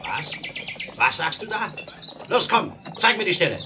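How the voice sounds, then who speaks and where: A man's voice: sits high, very animated, measured pace, noisy background. An actor playing a stern, commanding western lawman in a radio play. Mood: gruff, urgent. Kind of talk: German 1970s western radio play acting.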